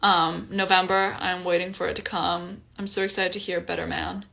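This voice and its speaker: A young woman from Iceland, a singer-songwriter chatting casually on a livestream from home, relaxed with frequent ums. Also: on the low side, normal speed, gravelly. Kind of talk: casual livestream chat between songs. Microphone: phone livestream. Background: guitar playing.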